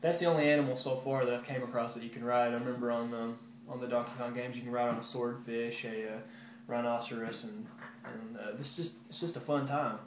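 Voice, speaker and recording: A man talks casually to his viewers in a roomy, echoing space with faint noise behind him. His delivery is laid-back and rambling, with a halting flow and a mid-range pitch, like a collector showing off his shelf at home. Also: little pitch movement. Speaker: video game collector vlogger. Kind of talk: casual show-and-tell update to viewers. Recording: roomy echo with background hiss.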